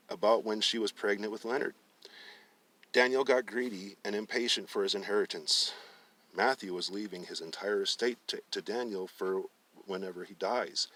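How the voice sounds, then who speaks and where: Low-voiced man reading a story, distant mic. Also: fairly bright tone. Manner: stumbles over words and rereads phrases. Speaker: storyteller reading a book aloud. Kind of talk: narrated fiction reading.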